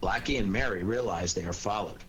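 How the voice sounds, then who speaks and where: A man's voice: on the low side, brisk, a bit bright, a little hiss or hum. A man working as an announcer, delivering a scripted story recap.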